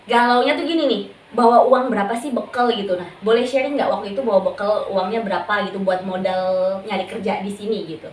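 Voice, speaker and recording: A woman's voice: mid-range voice, fairly even intonation, fast talker. A young woman hosting a casual interview podcast, chatty and often repeating her guest's answers back to him. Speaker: podcast host. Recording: echoey room, distant mic, faint noise.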